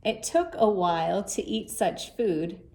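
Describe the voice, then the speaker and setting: A woman reading a rhyming children's story aloud in a measured, expressive way. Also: medium pitch. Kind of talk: scripted storybook narration in rhyme.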